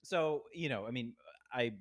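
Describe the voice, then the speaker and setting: A man guesting on a podcast, telling a story in a relaxed, rambling way. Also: mid-range voice, moderate pace. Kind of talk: casual storytelling.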